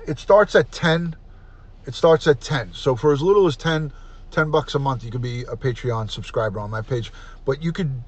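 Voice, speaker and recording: A middle-aged man hosting a casual livestream, chatty and repetitive, often clearing his throat. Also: mid-range voice. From New York. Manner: chatty, repeats himself, frequent throat clearing. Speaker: livestream host, retired detective. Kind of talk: casual livestream chat, reading comments aloud.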